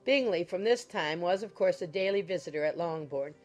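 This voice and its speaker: Slightly low-voiced woman narrating a novel. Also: expressive, brisk. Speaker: audiobook narrator. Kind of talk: scripted narration of a classic novel. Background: music.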